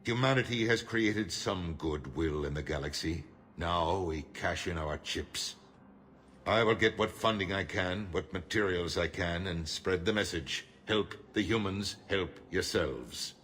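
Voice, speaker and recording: Deep, forceful, grave statesman. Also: a little hiss or hum. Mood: resolute. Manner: measured, oratorical, emphatic. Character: shrewd middle-aged human politician, grave and defiant. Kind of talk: cinematic game dialogue.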